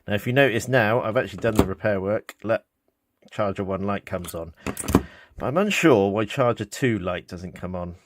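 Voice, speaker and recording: A man's voice: on the low side, normal speed, background noise. A British man giving a casual, unscripted hands-on demonstration, thinking aloud in a hesitant way. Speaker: hobbyist repair presenter. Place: indoors, small office.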